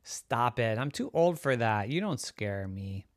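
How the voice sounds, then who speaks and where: A man's voice: mid-range voice, lively intonation, measured pace, no echo, clean studio sound, close to an everyday voice. A man voicing a confident young girl in a children's story, bossy and insistent.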